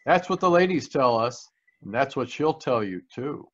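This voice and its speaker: A man's voice: medium pitch, animated, moderate pace, a bit bright, muffled recording. A man reading as a radio announcer in a polished, scripted 1940s sponsor style.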